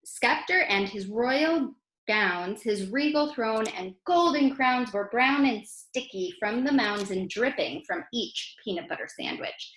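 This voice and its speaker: An American woman reading poems aloud to children in an expressive way, speaking directly to her young listeners. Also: fairly high pitch, a little slow. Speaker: children's poem reader and teacher. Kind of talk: animated read-aloud for children. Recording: roomy, echoey sound.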